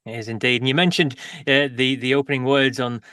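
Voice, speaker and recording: A man's voice: mid-range voice, steady tone, fairly quick, pushed and bright, clear, resonant tone, dry recording, studio quality. A man hosting an interview, asking leading questions that often end in tags. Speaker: interview host.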